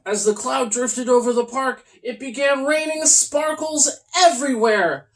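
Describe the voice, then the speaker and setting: A host reading a comic children's storybook aloud in a theatrical, mock-earnest way with deliberate pauses. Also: high voice.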